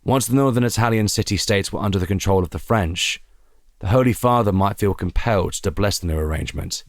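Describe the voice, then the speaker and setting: Deep-voiced man narrating a dramatic story. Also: brisk, no echo, studio quality.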